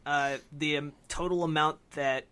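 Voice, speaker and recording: Bright-voiced man chatting informally. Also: on the high side, steady tone, unhurried, smooth. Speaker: podcast co-host. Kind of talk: casual discussion of games.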